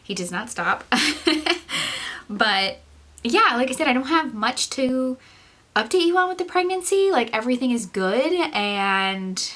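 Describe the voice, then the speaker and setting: Young female vlogger, bright tone, nasal from congestion, slightly breathless, loose chatty delivery full of "like" and "um". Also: on the high side, very animated, a little slow. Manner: chatty, rambling with frequent "like" and "um"; laughs often between phrases. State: stuffy, congested nose; short of breath. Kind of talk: casual chat to camera.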